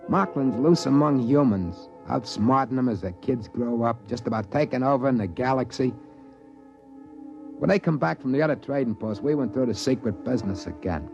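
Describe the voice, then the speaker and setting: A man's voice: mid-range voice, lively intonation, normal speed, vocal fry, darkish tone. An American actor playing a folksy, easygoing trading-post man who narrates his tale plainly in a 1950s radio drama. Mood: wistful, plain. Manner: plain-spoken, folksy, colloquial. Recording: dull vintage radio recording, music underneath.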